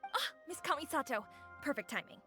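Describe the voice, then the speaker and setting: A female restaurant keeper in a video game, high and bright with hugely swooping intonation. She is hospitable, eager and polite. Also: sing-song, brisk, soft background music, full character voice. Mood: welcoming. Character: welcoming female eatery owner, polite and eager. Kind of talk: video game character voice acting.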